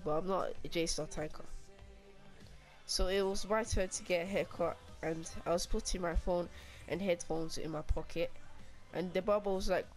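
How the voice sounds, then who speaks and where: A young video creator telling stories and commentating on gameplay in a casual, informal way to viewers. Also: mid-range voice. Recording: game audio and music behind. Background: music and football video game audio.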